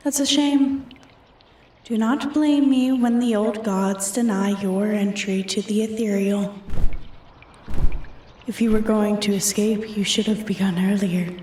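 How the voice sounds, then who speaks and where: A woman's voice: medium pitch, steady tone, unhurried, mellow, close to an everyday voice. A woman playing an ancient, arrogant female dragon in a roleplay ASMR, haughty and taunting, often sinking toward a whisper. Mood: taunting, threatening. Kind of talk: roleplay ASMR, scripted dramatic monologue. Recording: echoey, distant from the mic.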